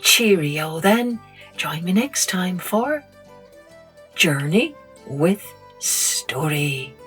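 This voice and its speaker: Slow, gentle woman storyteller. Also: lowish voice, soft background music. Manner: gentle, slow and expressive read-aloud. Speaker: storyteller and podcast host. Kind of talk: children's story narration.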